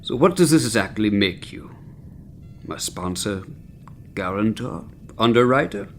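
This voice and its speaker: An actor playing an arrogant, sardonic middle-aged chief medical officer who drinks, with pompous, biting wit, in a sci-fi comedy audio drama. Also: male voice, on the low side, lively intonation, measured pace, slight background noise. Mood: sarcastic. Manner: biting, droll sarcasm with pompous wording.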